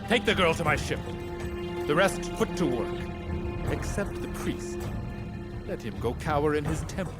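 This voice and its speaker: An actor playing a proud, greedy Greek high king in a video game, speaking in a pompous, imperious, theatrical way. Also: expressive, unhurried, pauses often, loud music behind the voice. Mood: scornful. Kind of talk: dramatic video game cutscene acting.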